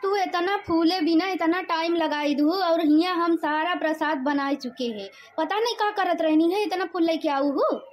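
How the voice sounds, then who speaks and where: A woman's voice: very high-pitched, normal speed, hardly any pauses. A woman voicing a busy, complaining young village daughter-in-law in a cartoon, with an eastern Uttar Pradesh accent. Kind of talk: animated comedy cartoon dialogue.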